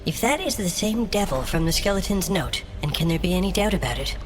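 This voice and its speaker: A woman's voice: on the low side, expressive, fairly quick, lightly acted. A woman playing a blunt, argumentative adventurer in a fantasy audio drama, speaking emphatically. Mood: insistent. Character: Achillea, blunt, forceful female adventurer. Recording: long cave reverb, music underneath.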